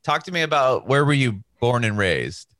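Young male podcast host, mid pitch, dry close sound, eager and loose. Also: sing-song, normal speed, fairly bright tone, no room sound. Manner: eager, upbeat, asks rambling questions. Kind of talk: podcast intro and casual interviewing.

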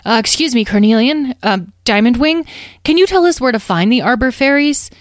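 A woman voicing a polite, earnest young dragon in a storybook, halting and questioning. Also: a little high, animated, dry recording, studio quality, voice pushed up, lightly acted. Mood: tentative.

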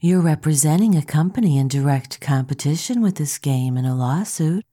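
A woman's voice: low voice, animated, normal speed, dark tone. An actress playing a serious, analytical woman who speaks precisely and matter-of-factly. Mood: serious, deductive.

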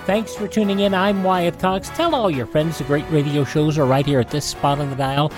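A man's voice: on the high side, moderate pace, music in the background. A man hosting a radio show, mixing scripted links with informal asides.

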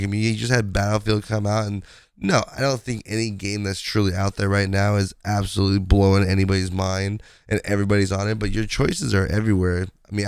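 A man with a low, raspy voice from a sinus infection, chatting casually on his podcast. He rambles along with plenty of fillers. The sound is close and dry, with no room around it. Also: no room sound. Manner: rambling, conversational, many fillers. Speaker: podcast host. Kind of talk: casual solo podcast chat.

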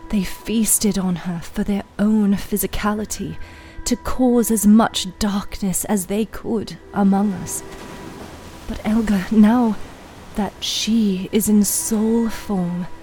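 Lady doing a sad solemn soul shepherd woman, fantasy podcast, hushed n slow, music under it. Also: medium pitch, steady tone, natural voice. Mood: solemn, urgent. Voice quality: hushed, breathy at times. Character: grave, sorrowful female soul shepherd explaining dark truths. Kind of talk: fantasy audio fiction dialogue.

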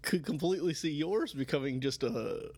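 A man's voice: on the high side, lively intonation, moderate pace, a little mellow. A man co-hosting a podcast in casual chat, joking around and agreeing readily with his partner. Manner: joking, agreeable, many yeahs. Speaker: podcast co-host.

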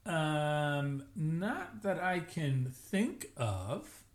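A man's voice: fairly high pitch, big pitch swings. Chatty man recapping a TV show. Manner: chatty, jokey, quick asides. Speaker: podcast co-host. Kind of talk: casual TV recap chat.

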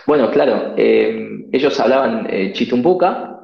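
South American missionary priest, gravelly, earnest interview answers, dull echoey audio. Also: male voice, medium pitch, animated. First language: Spanish. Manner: earnest, reflective, many pauses and fillers.

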